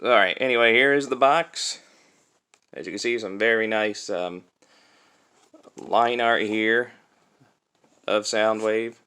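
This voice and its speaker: A young man giving a casual, unscripted toy review in a chatty, halting way, recorded on a cell phone. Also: on the low side, pushed and bright, clear, resonant tone. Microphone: cell phone used as camera.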